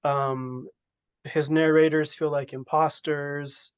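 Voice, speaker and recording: A man on a literary webinar panel speaks slowly and carefully, feeling his way toward each point. His audio is dry and dull, coming in over a video call. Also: on the high side, little pitch movement, smooth, no room sound. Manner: tentative, thoughtful. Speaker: panelist, scholar. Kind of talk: round-table webinar discussion.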